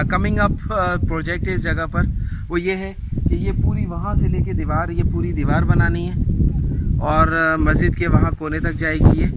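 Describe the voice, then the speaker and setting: A man speaking as a vlogger to his viewers in an informal, devout and somewhat halting way. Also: a little high, muffled recording, very noisy. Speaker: vlogger, channel host. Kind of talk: informal vlog talk, thanking and appealing to viewers.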